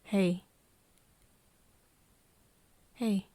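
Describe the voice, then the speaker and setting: A woman playing a nervous, tender young friend of a vampire in an ASMR roleplay, speaking softly and hesitantly, often slipping into a whisper. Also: no room sound, close to an everyday voice. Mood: hesitant, anxious. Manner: hesitant, halting, breathy whispering mixed with soft speech. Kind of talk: ASMR roleplay, intimate one-sided dialogue to listener.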